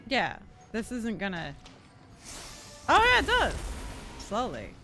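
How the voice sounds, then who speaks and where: Girl streamer chatting over game music, high bouncy voice, kinda slow and unsure, thinks out loud. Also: wildly swooping pitch. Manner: giggly, second-guessing, thinks out loud. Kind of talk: casual gameplay commentary to live viewers. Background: game music and character voice lines.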